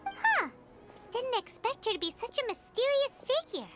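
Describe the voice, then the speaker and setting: Tiny, very high-pitched fairy sidekick. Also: female voice, normal speed, music in the background, exaggerated voice. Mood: puzzled. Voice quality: squeaky, childlike. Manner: expressive, exclamatory, refers to self in third person. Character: small excitable floating fairy companion, childlike, female. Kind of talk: video game voice acting.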